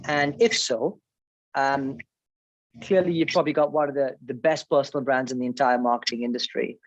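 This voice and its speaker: A man's voice: mid-range voice, steady tone, normal speed, no room sound, lo-fi sound. Effusive male podcast host. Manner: enthusiastic, effusive praise with fillers. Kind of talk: interview host chat.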